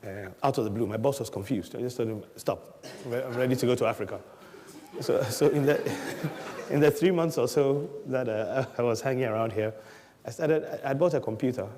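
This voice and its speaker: A middle-aged man from Ghana tells his life story in an easygoing way, chuckling often as he goes. His voice sits at a middling pitch. A long reverb trails behind him. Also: brisk, dark tone. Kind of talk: relaxed storytelling.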